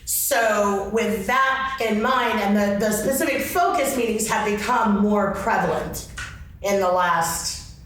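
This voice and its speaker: A woman speaking off the cuff in a panel discussion, phrasing her thoughts haltingly and reflectively. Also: mid-range voice, a bit bright. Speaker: panelist at a fellowship convention. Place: convention meeting room. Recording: echoey room from far off, faint noise.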